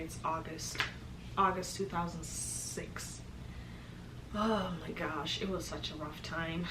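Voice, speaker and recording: A young woman from Kenya telling a casual storytime, chatty and expressive, often laughing. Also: low voice. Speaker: vlogger. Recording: echoey room with background noise.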